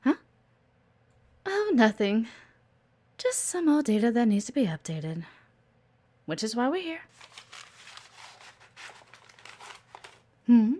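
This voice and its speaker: A woman's voice: fairly high pitch, very animated, a little slow, long pauses, mellow. A woman playing a playful, teasing, observant executive assistant in an intimate one-sided audio roleplay. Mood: coy, gossipy. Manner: coy, teasing, chatty, with murmured asides.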